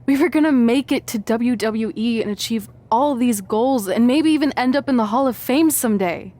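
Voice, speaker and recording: A woman's voice: fairly high pitch, normal speed, mellow, close to an everyday voice. An actress playing a frank, practical young female wrestler in a video game, speaking in a blunt conversational way. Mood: frustrated. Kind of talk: video game cutscene acting.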